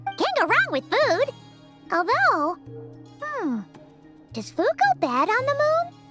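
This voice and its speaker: A woman voicing a tiny, chirpy, childlike fairy companion in a video game, with a squeaky voice and music behind her. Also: wildly swooping pitch, slow, heavily acted. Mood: pondering. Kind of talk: video game character acting.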